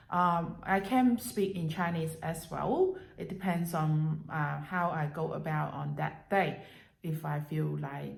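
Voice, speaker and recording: A woman whose first languages are Cantonese and Mandarin, talking in a casual, rambling vlog with many pauses and fillers, recorded without any special setup. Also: deep voice, vocal fry, a little mellow. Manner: hesitant, many fillers and restarts. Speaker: language-learning vlogger. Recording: roomy unprocessed home recording.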